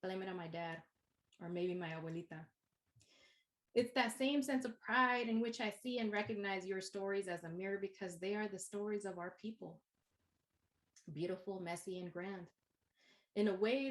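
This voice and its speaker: A Chicana woman from East San Jose, a university instructor whose first language is Spanish, giving a poetic spoken-word welcome address in English laced with Spanish. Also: medium pitch. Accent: Chicana English with Spanish code-switching. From East San Jose, California. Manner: poetic, rhythmic, unhurried phrasing.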